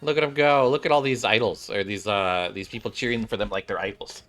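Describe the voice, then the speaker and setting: A man's voice: fairly low pitch, a little fast, a bit bright, soft background music. A man streaming a game, reading its story aloud and adding casual commentary. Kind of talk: narration and casual playthrough commentary.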